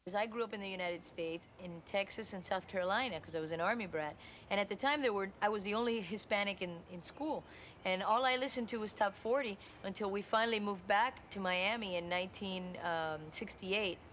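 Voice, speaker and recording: A woman's voice: mid-range voice, fairly quick, a bit bright, slight background noise. Chatty woman telling stories in an interview. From Cuba. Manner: chatty, acts out remembered dialogue. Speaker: interviewee, musician. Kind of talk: interview storytelling.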